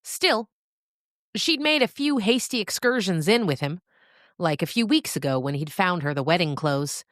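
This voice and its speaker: Woman reading a story, low voice, close dry sound, expressive w/ dramatic pauses. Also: dry recording. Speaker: narrator. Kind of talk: scripted story narration.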